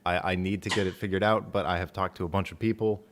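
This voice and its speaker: A soft-spoken man with a low, quiet voice, hosting a casual livestream about his book on a desktop mic. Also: fairly even intonation, quick pace, slight background noise. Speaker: author and stream host.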